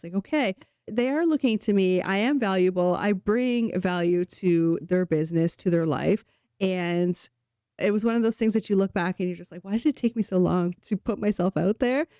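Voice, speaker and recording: A woman's voice: fairly low pitch, mellow, dry recording, studio quality. Slightly sick woman, earnest vlog talk. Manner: earnest and encouraging. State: sick, voice a little off. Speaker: host. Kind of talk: solo talk to camera.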